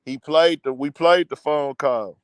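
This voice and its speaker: A man hosts a casual livestream commentary show and rants, blunt and profane. His voice sits high and comes through smooth and clear. It is recorded close on a dry mic with no room sound. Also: measured pace, no echo. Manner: blunt, profane, heated rant.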